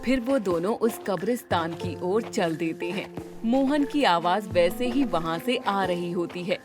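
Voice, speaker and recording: A woman's voice: on the low side, expressive, measured pace, over music. A woman narrator reading a scripted horror story for a cartoon.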